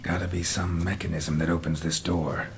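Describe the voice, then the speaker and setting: A man playing a gruff, weary monster hunter in a fantasy game, speaking in a terse, deadpan way. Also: low-pitched, normal speed, close to an everyday voice. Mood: musing, wry. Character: gruff, dry, weary male monster-hunting witcher for hire. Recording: game audio with clear ambient noise.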